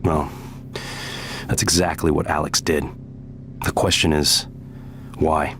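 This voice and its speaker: A man's voice: very deep voice, flat delivery, slow, noisy background. A man playing a weary, frank scientist in a video game, explaining things matter-of-factly in a recorded message. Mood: frank, grave.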